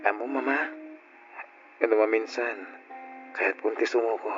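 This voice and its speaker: An actor playing a devoted, patient grown son in a radio drama, speaking earnestly and sentimentally. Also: male voice, on the low side, steady tone, unhurried, close to an everyday voice. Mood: tender, emotional. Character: devoted, patient, self-sacrificing adult son caring for mother. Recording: dull lo-fi radio drama recording. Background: guitar music.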